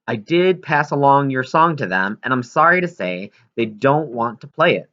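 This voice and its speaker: A man's voice: mid-range voice, very animated, normal speed, pushed and bright, dull audio. A man reading aloud as a considerate female researcher, friendly and explanatory, in an audiobook. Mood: friendly, apologetic. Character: considerate female whale researcher writing an apologetic letter.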